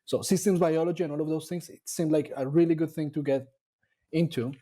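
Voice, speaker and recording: A man from Colombia, a dairy nutrition scientist talking about his career in a casual interview, pausing often to rethink his words. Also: on the high side, steady tone, warm tone. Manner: thoughtful, with frequent fillers and restarts.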